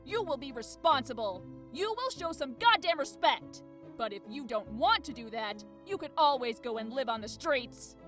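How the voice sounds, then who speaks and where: High, sharp-voiced scolding mother. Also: big pitch swings, moderate pace, soft background music. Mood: cold, stern. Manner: commanding, scolding, emphatic. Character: stern, angry mother scolding her teenage son. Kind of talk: visual novel voice acting.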